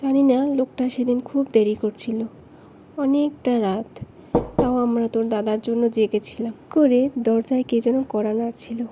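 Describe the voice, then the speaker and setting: A woman's voice: a little high, fairly even intonation, unhurried, noisy background, lightly acted. A woman playing a village grandmother who earnestly tells her grandchildren a spooky memory in a cartoon story. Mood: fearful, reminiscing.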